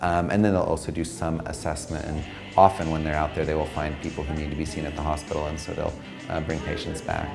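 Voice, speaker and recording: A man in middle age with a low-pitched voice speaks as a hospital medical director. He explains things in interview style over a music bed. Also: flat delivery, quick pace, creaky.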